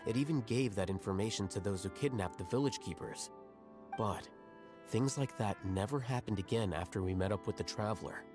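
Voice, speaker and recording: A man voicing a young scholar from a video game, calm and analytical. His voice sits low, and he lays out each point in measured, articulate steps, with music playing faintly behind him. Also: lightly acted. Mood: composed, explanatory. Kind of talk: scripted video game dialogue.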